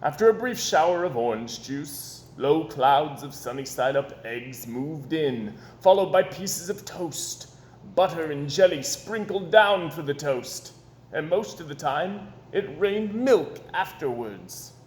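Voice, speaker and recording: Guy doing an expressive kids story read-aloud, dramatic pauses, roomy echo, bit of background noise. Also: a little high. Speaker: storyteller reading a children's book aloud. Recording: echoey room with background noise.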